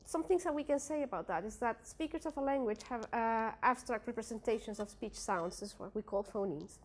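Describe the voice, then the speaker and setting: A woman's voice: fairly high pitch, brisk. A young woman from a Spanish-speaking country, a native Spanish speaker with a Spanish accent, presenting her research at a workshop with many fillers and restarts. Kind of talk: academic presentation.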